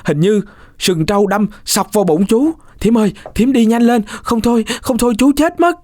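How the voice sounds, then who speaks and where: A man's voice: sits high, moderate pace, darkish tone, no echo, studio quality, voice pushed up, close to an everyday voice. A man voicing a mischievous, lying orphan boy in a children's folk tale, calling out with exaggerated urgency. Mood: fake panic.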